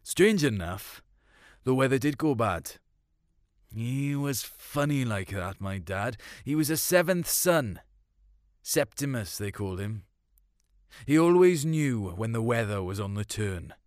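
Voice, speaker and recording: A man's voice: medium pitch, lively intonation, unhurried, no echo, studio quality, close to an everyday voice. A man voicing a big Welsh hill farmer in a short story, reminiscing wistfully in colloquial dialect. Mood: troubled, wistful.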